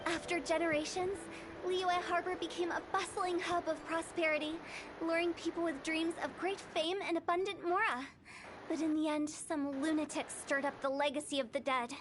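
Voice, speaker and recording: A woman's voice: extremely high pitch, fairly even intonation, normal speed, background noise. A woman voicing a playful, mysterious young funeral director in a video game, with a theatrical, teasing storytelling delivery. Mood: storytelling, solemn. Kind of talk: English video game voice acting.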